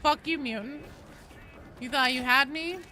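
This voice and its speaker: A woman's voice: high-pitched, measured pace, pushed and bright. A woman giving casual, sweary live commentary while streaming a game. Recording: clearly noisy background.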